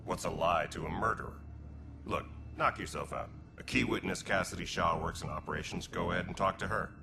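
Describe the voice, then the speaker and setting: Low, gravelly alien militia commander. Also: male voice. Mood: stern, dismissive. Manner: measured, matter-of-fact, military. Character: gruff alien male militia commander, dutiful and pragmatic. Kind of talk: video game cutscene acting. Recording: loud background noise.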